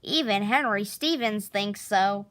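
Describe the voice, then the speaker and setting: A woman narrating a children's picture book expressively, with theatrical, drawn-out emphasis on key words. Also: medium pitch, a bit bright. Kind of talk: expressive children's storybook read-aloud.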